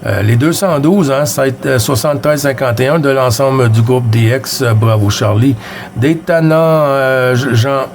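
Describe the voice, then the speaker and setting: A man from Quebec with a Québécois French accent, chatting casually with another operator over CB radio. He is friendly and unhurried, and reads out call signs and signal reports. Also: medium pitch. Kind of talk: casual radio contact chat.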